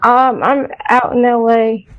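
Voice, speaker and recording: A woman's voice: fairly high pitch, fairly even intonation, measured pace, no echo. A woman hosting a casual music interview, speaking with a hesitant manner full of fillers. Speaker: podcast host. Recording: dull lo-fi sound.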